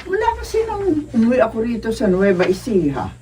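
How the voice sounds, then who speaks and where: A woman's voice: fairly high pitch, huge pitch swings, a little fast, mellow. A woman with a Filipino accent playing a chatty, friendly aunt from the provinces in a radio drama. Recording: distant mic, faint background noise.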